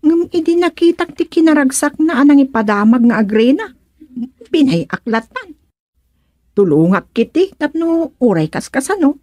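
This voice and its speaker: A woman playing a chatty, expressive granddaughter in a comic village radio serial. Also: high-pitched, moderate pace, no echo. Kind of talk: comedic radio serial acting.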